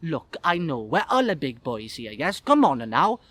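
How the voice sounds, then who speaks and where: A man voicing an exasperated, bossy head waiter with an Italian accent, halting and patronising. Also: a little high, big pitch swings, a bit bright.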